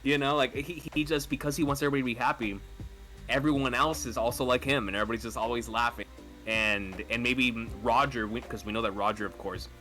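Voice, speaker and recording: A man's voice: mid-range voice, steady tone, quick pace, music in the background. A man in a casual podcast chat, laying out his ideas eagerly and emphatically.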